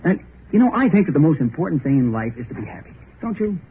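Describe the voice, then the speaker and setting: An actor playing a carefree, playful young groom who jokes boisterously, in 1930s radio acting style. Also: male voice, on the high side, sing-song, quick pace. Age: young adult. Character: carefree joking young groom, happy-go-lucky. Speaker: young bridegroom. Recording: tinny old radio recording with faint hiss.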